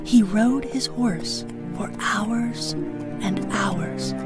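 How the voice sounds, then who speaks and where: A woman's voice: medium pitch, drawn-out words. American woman narrating fairy tales over music. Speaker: storyteller. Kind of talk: scripted children's story narration. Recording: music behind the voice.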